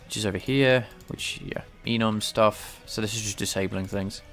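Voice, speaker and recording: A man's voice: lowish voice, steady tone, normal speed, soft background music. A man thinking aloud while live-coding and explaining his game engine, hesitant and full of restarts, sounding a bit stuffed-up and nasal from a cold. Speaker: game developer streaming his work. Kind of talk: casual live-coding commentary.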